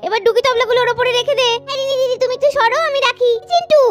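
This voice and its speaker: A woman voicing eager, bossy little children in a village doll cartoon, with squeaky, chattering delivery. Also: steady tone, racing. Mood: busy, playful. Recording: faint children's music behind.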